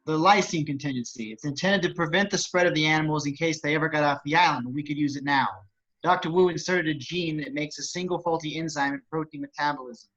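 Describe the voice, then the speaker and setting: Frazzled, exasperated computer tech for a script read, played by a man. Rapid, insistent, slightly high voice, muffled recording. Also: a bit bright. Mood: matter-of-fact. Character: frazzled, stubborn male computer technician, exasperated. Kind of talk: amateur screenplay table read.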